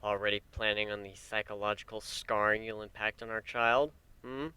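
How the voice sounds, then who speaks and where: A man voicing a gentle, wry male pegasus pony, a devoted husband, speaking softly and soothingly with light teasing in a story reading. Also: lowish voice, fairly even intonation, moderate pace, smooth. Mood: wry, amused.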